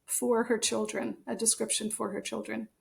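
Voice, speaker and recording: American female podcast guest, articulate and emphatic, fairly high pitch with vocal fry. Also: little pitch movement, normal speed. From United States. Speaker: author and translator, interview guest. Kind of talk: conversational podcast interview.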